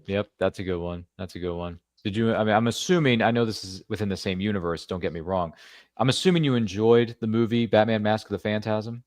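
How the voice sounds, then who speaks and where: A man hosting a casual podcast chat, his voice sitting on the low side. He rambles along with playful asides. The sound is dry and close. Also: a little fast, a little mellow, no echo. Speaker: podcast host.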